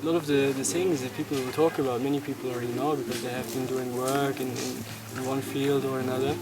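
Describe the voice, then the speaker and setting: Even-toned young man over loud music. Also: medium pitch, fast, rough recording. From Germany, grew up in Portugal. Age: 20s. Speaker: event volunteer being interviewed. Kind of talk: casual personal account. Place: outdoors in a mountain village.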